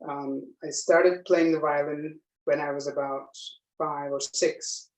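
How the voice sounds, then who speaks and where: A man in his forties with a Turkish accent, hosting a casual live chat as a cellist, speaking in a friendly, halting way with many ums. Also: fairly high pitch, fairly even intonation, recorded from a distance. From Turkey. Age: 40s. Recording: echoey room, voice off-mic.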